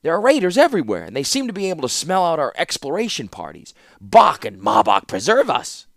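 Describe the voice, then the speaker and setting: A man voicing a fretful male clockmaker adviser in a raised, loud voice. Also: mid-range voice, wildly swooping pitch, a little fast, no echo, studio quality, voice pushed up.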